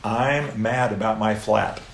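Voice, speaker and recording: A man's voice: on the low side, slow, creaky. A middle-aged American man preaching a sermon to a live audience, telling stories with playful asides. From United States. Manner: animated storytelling, playful asides. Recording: echoey room, faint background noise.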